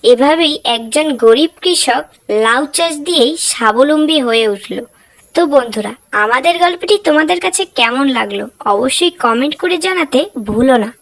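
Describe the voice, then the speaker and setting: A woman's voice: sits high, lively intonation, moderate pace, low-quality recording. A woman giving scripted storybook narration for a moral cartoon tale, addressing the audience as narrator.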